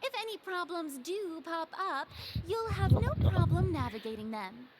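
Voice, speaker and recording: A woman's voice: extremely high pitch, animated, normal speed, a little hiss or hum. An actress playing a self-important but flustered young female ruler in a video game, dramatic, pompous and hesitant. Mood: defensive, evasive. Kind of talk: scripted video game dialogue. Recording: music under the game dialogue.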